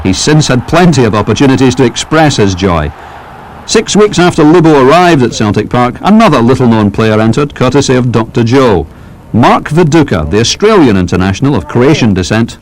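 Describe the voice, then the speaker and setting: A man narrates a sports documentary from a script. His pitch moves lively through the lines. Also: fairly quick, rough recording.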